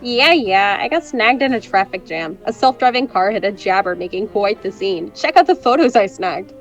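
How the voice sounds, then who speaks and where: A woman's voice: medium pitch, expressive, moderate pace, muffled recording, faint music behind, lightly acted. A young woman playing a brash, sarcastic, teasing friend in a scripted romantic comedy audio drama. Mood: sardonic, animated. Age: young adult. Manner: sardonic, teasing.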